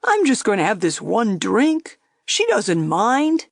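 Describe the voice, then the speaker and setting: A woman's voice: medium pitch, huge pitch swings, takes it slowly, creaky, no room sound, clean studio sound, pitched above the performer's own voice, exaggerated voice. A woman voicing a stubborn man who insists on having one more drink.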